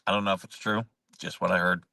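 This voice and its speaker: A man's voice: sits low, flat delivery, fast talker, vocal fry, bright, forceful tone, dry recording, far from the mic. A man hosting a casual live chat show, rambling, chuckling often and answering viewer comments as he goes. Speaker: host.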